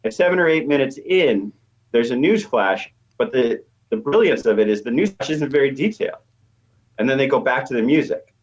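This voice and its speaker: Man, medium pitch, slightly dull lo-fi sound, expert guest, conversational explaining, thinking aloud. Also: expressive, brisk. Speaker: expert guest, media professor.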